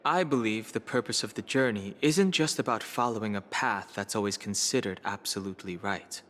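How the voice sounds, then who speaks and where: A male actor playing a calm, principled young space traveler in a video game, measured and earnest. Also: on the low side, close to an everyday voice.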